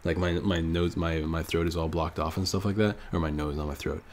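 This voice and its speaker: A man's voice: deep voice, flat delivery, fairly quick, a little mellow. A man with a stuffy, nasal voice from a cold, casually thinking aloud while commenting on his own card game match. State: sick, stuffy nose. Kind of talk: live gameplay commentary.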